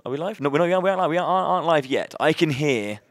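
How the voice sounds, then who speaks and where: A man hosting a live charity gaming marathon, loud-voiced and upbeat, chatting between runs with many fillers and restarts. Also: on the high side, lively intonation, quick pace, no echo.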